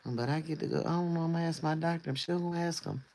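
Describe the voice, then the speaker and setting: A man casually chatting on a livestream in a loose, rambling way, his voice going hoarse. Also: on the high side, steady tone, very fast, darkish tone. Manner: loose, rambling talk with easy laughs. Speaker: livestream host.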